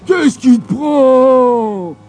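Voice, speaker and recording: A man voicing two brutish, quarrelling ogres in a storybook reading, gruff and yelling. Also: very high-pitched, lively intonation, very slow, faint music behind, pitched above the performer's own voice, full character voice. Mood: grumbling.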